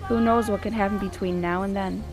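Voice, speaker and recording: A woman's voice: medium pitch, steady tone, a little fast, natural voice. A woman playing a young woman in love in a radio play, tender and dramatic. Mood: tender, romantic. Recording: music behind the voice.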